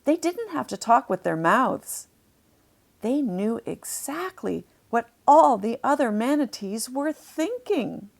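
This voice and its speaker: A woman narrating a children's storybook, deliberately explaining things to young listeners as she reads. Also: on the high side, big pitch swings, vocal fry.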